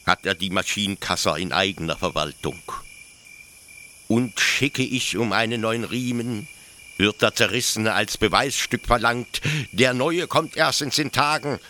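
A man's voice: lowish voice, sharp tone, slight background noise. A man playing a fanatical colonial officer proud of his machine, with emphatic, declamatory radio play delivery. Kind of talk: literary radio play acting.

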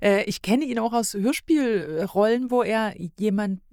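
A woman's voice: mid-range voice, unhurried, a little mellow, no echo. Woman guest on a podcast, just chatting and reviewing stuff, really dry sound no room. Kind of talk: casual chat, radio play review.

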